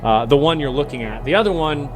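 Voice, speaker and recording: A man's voice: fairly high pitch, animated, fast, music in the background. Hesitant man running a tabletop game. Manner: hesitant, many ums and uhs. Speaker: game master.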